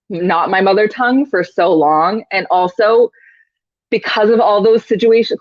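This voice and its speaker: A woman's voice: on the low side, no echo. A woman who teaches yoga, chatting casually in an interview, laughing often and saying "like" a lot. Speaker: yoga teacher, podcast guest. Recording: dull, dry lo-fi sound.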